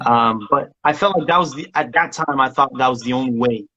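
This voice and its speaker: A man's voice: medium pitch, a little fast, pushed and bright, highs cut off. A man from Somalia, a music producer chatting casually as a guest and rambling with many restarts and laughs. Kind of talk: casual interview chat.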